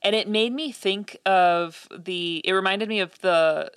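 A woman's voice: medium pitch, unhurried, a bit bright, clear, resonant tone, dry recording, clean studio sound. A woman co-hosting a casual podcast, talking thoughtfully with many ums and likes. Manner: thoughtful, many fillers and hedges. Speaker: podcast co-host.

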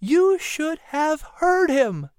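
A man's voice: sits high, sing-song, moderate pace, no room sound, voice pushed up. A man voicing a cheerful, teasing colonial hostess in a storybook, with emphatic, exaggerated enunciation. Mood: proud, teasing.

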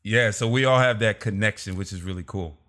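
Male podcast host, low register, relaxed and talkative, interviewing remotely. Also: a little fast. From Los Angeles. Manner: laid-back, says "man" a lot, laughs often. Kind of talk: casual video-call interview. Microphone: remote call.

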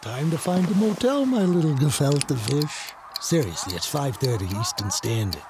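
A man's voice: a little high, very animated, moderate pace, noisy background. A man playing a jokey older boyfriend, a corny charmer who delivers hammy asides in an audio comedy. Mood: wisecracking. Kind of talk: audio drama comedy acting.

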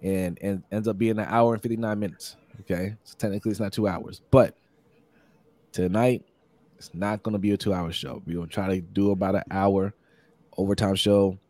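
A hoarse man hosting a casual live-streamed sports podcast, upbeat and repetitive, reading out viewer comments and giving shout-outs. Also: low-pitched, fairly even intonation, normal speed, no echo. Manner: upbeat, repeats phrases, many shout-outs. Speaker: podcast host.

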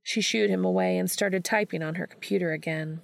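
A woman's voice: lowish voice, fairly even intonation, fairly quick, creaky, no room sound, studio quality. A woman reading a scripted story aloud as an audiobook narrator. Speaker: audiobook reader.

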